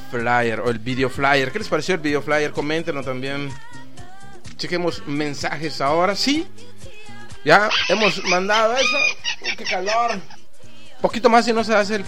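A Mexican man hosting a live online radio show, rambling through shout-outs and jokes in a chatty, loose way. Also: a little high. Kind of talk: casual live radio chat with greetings. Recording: faint music in the background.

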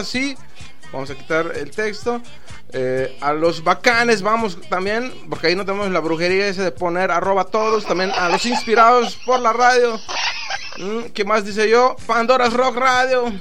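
A Mexican man hosting a live online radio show, rambling through shout-outs and jokes in a chatty, loose way. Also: high-pitched, moderate pace. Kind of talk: casual live radio chat with greetings. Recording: faint music in the background.

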